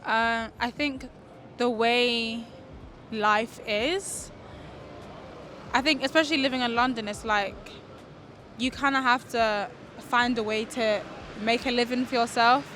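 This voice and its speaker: A woman in her twenties giving casual opinions as an interviewee in hesitant, halting phrases. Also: a little high, steady tone, fairly bright tone, a little hiss or hum. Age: 20s. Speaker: street interviewee.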